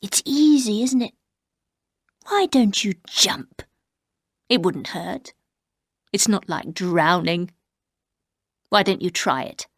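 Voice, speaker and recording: A woman voicing a bitter, menacing older housekeeper who speaks slowly and coldly, often dropping to a whisper. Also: animated, dry recording, clean studio sound, full character voice. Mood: cold menace. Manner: slow, low, cold, often whispered.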